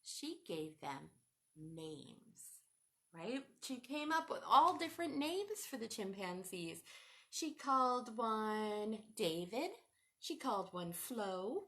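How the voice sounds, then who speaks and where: A woman's voice: fairly high pitch, very animated, slow pace, pushed and bright. A woman hosting a children's storytime, reading a picture book aloud in a sing-song teacher voice and asking the children questions.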